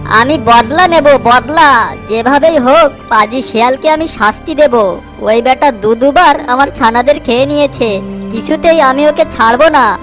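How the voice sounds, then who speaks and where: A woman voicing a small, wronged mother bird in an animated moral story, earnest and emotional. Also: sits high, a little slow, faint music behind. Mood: vengeful, resolute.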